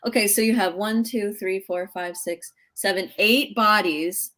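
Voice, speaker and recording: Woman hosting with a mid-pitched voice. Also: fairly even intonation, measured pace, fairly bright tone. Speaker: live show host. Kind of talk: casual interview chat.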